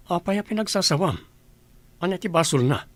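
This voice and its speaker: Lalaki nga agak-akto a managbabalaw, medio nangato timek na. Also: male voice, high voice, animated, a little fast, no room sound. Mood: reproachful. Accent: Ilocano Filipino. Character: questioning, reproachful man of the village. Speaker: actor in a radio drama. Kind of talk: Ilocano radio comedy drama acting.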